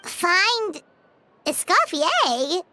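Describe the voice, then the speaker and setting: A woman voicing a small, excitable childlike fairy companion in a video game, squeaky and bubbly, blurting out her thoughts. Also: drawn-out words, noisy background, heavily acted. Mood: eager, curious. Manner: speaks of herself in the third person, blurting, bubbly. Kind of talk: video game character acting. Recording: music behind the voice.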